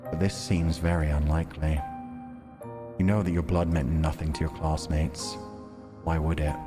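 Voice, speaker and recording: A man's voice: a bass voice, little pitch movement. A man livestreaming a visual novel, reading it aloud as dramatic narration and chatting casually about it. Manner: measured, deliberate storytelling delivery when reading. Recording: long reverb, music behind. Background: music.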